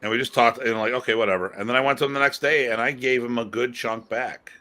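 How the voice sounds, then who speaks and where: A man co-hosting a podcast in casual conversation, talking in an animated, emphatic way with casual swearing. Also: medium pitch, fast talker. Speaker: podcast co-host.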